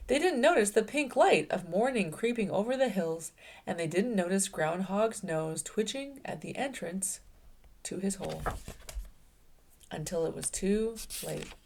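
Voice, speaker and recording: Expressive woman reading a storybook aloud. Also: mid-range voice, unhurried, vocal fry, a little hiss or hum. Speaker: children's read-aloud host. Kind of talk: expressive storybook narration for children.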